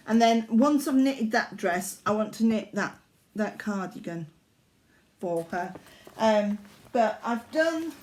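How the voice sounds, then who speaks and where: An older woman from Yorkshire talks slowly and at ease in a fairly high voice. She chats about her crafts at home, rambling and correcting herself, with plenty of ums along the way. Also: a little mellow. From Yorkshire, England. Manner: slow, chatty and rambling, with many fillers and self-corrections. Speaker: craft vlogger. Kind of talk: casual chat showing needlework.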